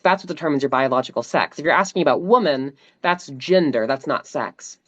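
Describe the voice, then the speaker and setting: A woman streamer debating a stranger, measured and precise, making her points carefully. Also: low voice, expressive, no room sound, dull audio. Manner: measured, precise, cites a textbook. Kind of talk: calm argumentative debate.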